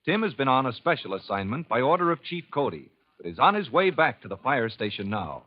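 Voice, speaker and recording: A man narrating a 1950s radio drama in a smooth, measured announcer manner, old recording. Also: medium pitch, sing-song, highs cut off.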